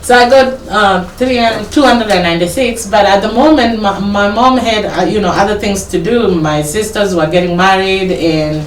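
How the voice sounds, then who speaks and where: A woman's voice: mid-range voice, moderate pace, few pauses. A Kenyan woman with a Kenyan English accent, probably in her thirties, telling her life story in a casual interview, rambling and correcting herself as she goes. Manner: rambling, self-correcting, laughs while telling. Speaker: interview guest. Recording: echoey, distant mic with background noise.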